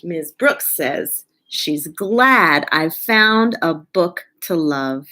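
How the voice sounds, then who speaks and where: A woman reading a picture book aloud to children in an expressive, deliberate way, as a children's librarian. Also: deep voice. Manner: animated, drawn-out, deliberate delivery.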